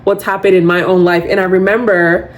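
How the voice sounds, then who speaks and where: A woman in her twenties with a loud voice, hosting a podcast in casual, rambling solo chat, full of "like" and animated asides. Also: fairly low pitch, brisk. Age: 20s. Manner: chatty, rambling, heavy use of "like"; animated, slips into quoting others. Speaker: podcast host.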